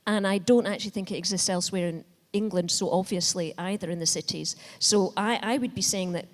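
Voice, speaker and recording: A woman's voice: medium pitch, brisk. A woman from Scotland answering on a conference panel, enthusiastic and often restarting her phrases. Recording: reverberant hall.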